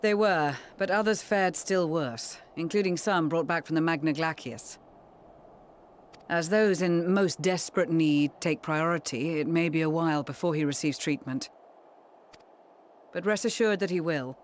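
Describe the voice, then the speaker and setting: A composed fantasy game leader, voiced by an actor, with a mid-pitched voice. The delivery is formal, measured and dutiful, with faint ambience behind. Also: a little hiss or hum, natural voice. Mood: calm, grave. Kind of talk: scripted fantasy game acting.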